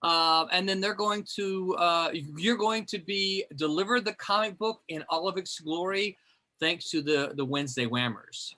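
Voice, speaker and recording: A man's voice: sits high, measured pace, bright, forceful tone. A man hosting a group reading on a video call, explaining the rules in a chatty, rambling way. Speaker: host.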